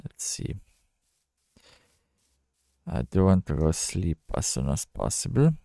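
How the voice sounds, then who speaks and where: A man giving casual, low-key game commentary, thinking out loud in halting phrases as he plays. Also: big pitch swings, gravelly, no room sound, clean studio sound. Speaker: gamer narrating own playthrough.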